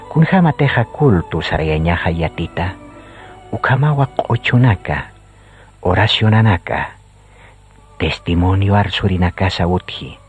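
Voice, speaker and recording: A man with a Bolivian accent narrating a scripted radio drama in solemn, measured phrases. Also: low voice. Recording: muffled radio audio, music underneath. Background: faint music.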